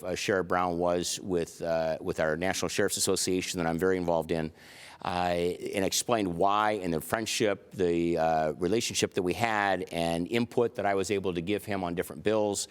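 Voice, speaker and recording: A man's voice: low voice, fairly even intonation, normal speed, smooth. A man in his sixties, a county sheriff, giving earnest, emphatic answers with many fillers in a TV interview. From United States.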